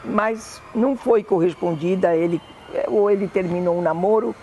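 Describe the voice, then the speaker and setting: An older woman with a Brazilian Portuguese accent, fondly recalling family memories in an oral history interview, often pausing to correct herself. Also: mid-range voice, dark tone, slight background noise. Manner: fond, meandering reminiscing with self-corrections.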